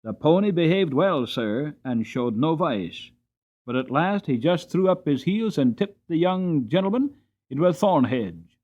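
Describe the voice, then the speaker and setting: Storybook groom acted by a man: folksy, frank, drily amused, slightly high male pitch. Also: animated, a little slow, darkish tone, muffled recording. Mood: frank indignation. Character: plain-spoken horse-loving groom, indignant and drily amused.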